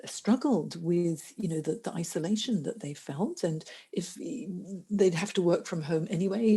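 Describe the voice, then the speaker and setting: A woman's voice: fairly low pitch, warm tone, slightly rough. A middle-aged woman careers coach talking earnestly as a podcast guest, often restarting her sentences. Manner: earnest, many restarts and fillers. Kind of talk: conversational podcast interview.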